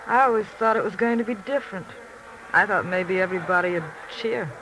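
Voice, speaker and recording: An actress playing a wartime factory coworker in casual 1940s radio drama dialogue. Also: female voice, mid-range voice, a little fast, over music, natural voice. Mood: puzzled, subdued. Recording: noisy old radio, buzzing background.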